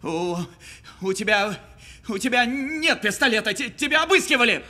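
Audio-film police officer, insecure and defensive, raspy strained tone, jumpy intonation, tripping over threats. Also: male voice, very high-pitched, lively intonation, moderate pace, sharp tone, full character voice. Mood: panicked, furious. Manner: stammering, defensive. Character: flustered, insecure male police officer, threatening but rattled. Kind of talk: naturalistic audio film drama acting.

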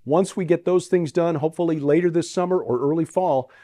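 A man's voice: on the high side, brisk, no room sound. Middle-aged man with a lapel mic, dry sound. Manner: relaxed, with frequent fillers. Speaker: ministry host. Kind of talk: casual walkthrough talk to camera. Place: inside a large metal pole barn and outdoors beside it.

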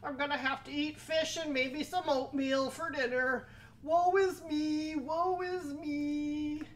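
Lady doing a big grumpy troll for a kids story, loud n drawn out, voice swoops around. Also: sits high, big pitch swings, gravelly, pitched above the performer's own voice, exaggerated voice. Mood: whining, woeful. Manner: loud, drawn-out, roaring demands. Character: grumpy bully male troll under a bridge. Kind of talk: children's storybook telling.

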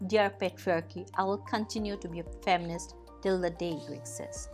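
A woman's voice: fairly low pitch, fairly even intonation, brisk, music in the background. A young woman reading an open letter aloud in a declarative, emphatic way. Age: young adult. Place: hotel room.

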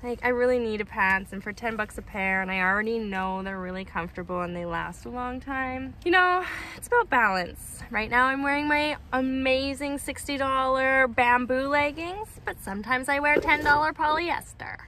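A woman in her thirties talks casually to her phone as a family vlogger. Her voice sits a little high, and the phone is held at arm's length. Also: moderate pace, hardly any pauses, sharp tone, slight background noise. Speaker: family vlogger, mother of young children. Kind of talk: casual chat to camera.

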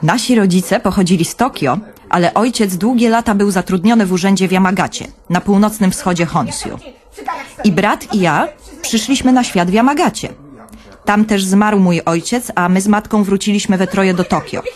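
An actress playing a wry younger sister who narrates a radio play. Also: female voice, medium pitch, moderate pace, natural voice. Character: wry, girlish younger sister telling her story.

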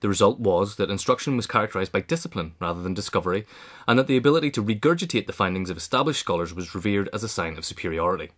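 A man reads a prepared biographical essay as narrator. His voice is on the low side, and he moves through the text at a quick pace. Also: lively intonation, a bit bright. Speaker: narrator, reader. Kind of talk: scripted biographical narration, read aloud.

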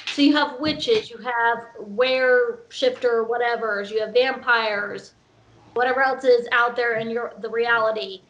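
A woman's voice: on the high side, fairly even intonation, measured pace, fairly bright tone. A woman on an online panel, speaking casually and opening her turns with hesitant fillers. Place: home room. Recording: muffled video call audio.

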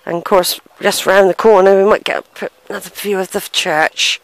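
A middle-aged woman talks to her handheld camera outdoors in a country churchyard. Her voice sits at an ordinary pitch for a woman. She muses and hesitates, sighs, and stops now and then to read old inscriptions aloud. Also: steady tone, moderate pace, no echo. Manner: musing, hesitant, sighs, reads gravestones aloud. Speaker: family history vlogger. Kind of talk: casual walking vlog, thinking aloud. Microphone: handheld camera, unseen narrator behind it.

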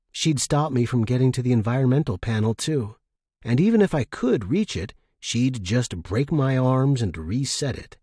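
A man's voice: medium pitch, darkish tone, slightly rough, no room sound, studio quality. A man reading scripted first-person fiction as a story narrator.

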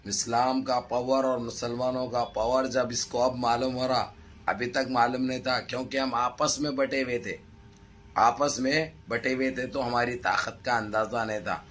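A middle-aged man with a hoarse throat gives impassioned political commentary to his viewers in emphatic, repetitive, rhetorical phrases. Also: medium pitch, fairly even intonation, normal speed, fairly bright tone. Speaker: news commentary vlogger. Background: background music.

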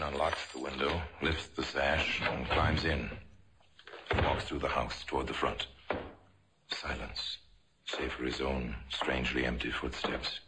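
A brooding storyteller for a vintage radio crime drama. His voice is exceptionally low, with measured, dramatic delivery. Clear noise runs through the old recording. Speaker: narrator. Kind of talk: dramatic scripted radio narration.

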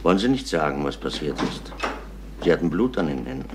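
An actor playing a calm, fatherly middle-aged police inspector in a radio crime play, measured and reassuring. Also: very deep voice, roomy sound, background noise. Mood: measured, probing. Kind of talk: German radio crime drama acting.

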